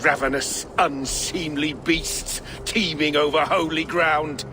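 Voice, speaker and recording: A haughty lord sneers slowly in a slightly high male voice. He sounds imperious and contemptuous in game-drama style, with clear outdoor noise behind. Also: steady tone. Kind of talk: video game dramatic acting.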